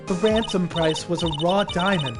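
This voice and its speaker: A man reading the lines of a cunning young woman witness in a game, softly and hesitantly. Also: sits high, normal speed, loud music behind the voice, natural voice. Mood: pleading.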